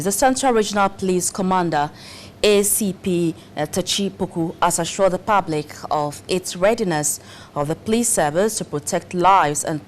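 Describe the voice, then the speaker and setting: Lower-voiced woman anchoring the news. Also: a little hiss or hum. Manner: measured, formal delivery. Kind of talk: studio news presenting.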